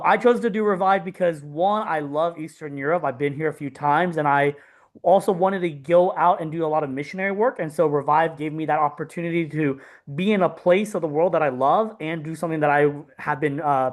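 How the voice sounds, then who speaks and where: A man's voice: a little high, brisk. A man from Texas giving a casual self-introduction as a participant on a group video call. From Texas, United States. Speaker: missionary program participant being interviewed.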